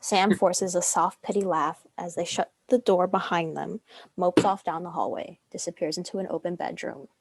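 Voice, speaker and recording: A woman's voice: fairly low pitch, moderate pace, vocal fry, slight background noise. A young woman reading screenplay stage directions as a narrator. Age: young adult.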